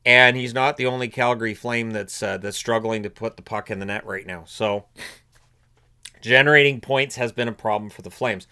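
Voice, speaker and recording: A man's voice: medium pitch, fairly even intonation, moderate pace, bright, forceful tone, smooth. A man with a cold, sounding a bit sniffly, hosting casual solo hockey commentary and thinking aloud with frequent fillers and restarts. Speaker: hockey commentary channel host.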